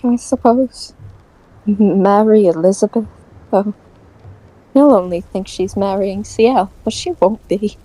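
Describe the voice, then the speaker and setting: A woman playing a bitter, grief-stricken young nobleman with a halting, intense delivery in an audio drama. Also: mid-range voice, dark tone, low-quality recording. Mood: lost, vengeful.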